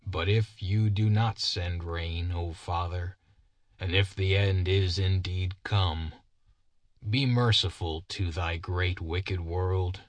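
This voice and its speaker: A man's voice: low voice, steady tone, slow pace, no room sound. A man voicing a haggard, simple-minded man who earnestly preaches doom and prays in biblical cadence. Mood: earnest prayer.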